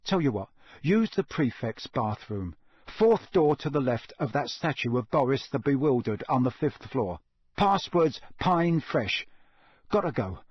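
A man's voice: mid-range voice, big pitch swings, unhurried, slightly rough, no echo. A man voicing a friendly, slightly awkward older schoolboy in a storybook, speaking in a casual, hesitant, confiding way. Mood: awkward, helpful.

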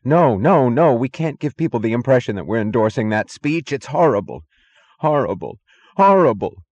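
A man's voice: medium pitch, sing-song, normal speed, a little mellow, dry recording, lo-fi sound, voice pushed up. A man voicing a horrified man who wails with sobbing, helpless rage in an audiobook novel. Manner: wailing, repetitive.

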